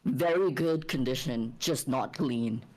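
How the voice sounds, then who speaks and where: A woman voicing a blunt, deadpan male warrior in a tabletop game, speaking in terse, broken sentences. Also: very deep voice, unhurried, low-quality recording. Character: blunt, deadpan male battle-axe warrior named Solon.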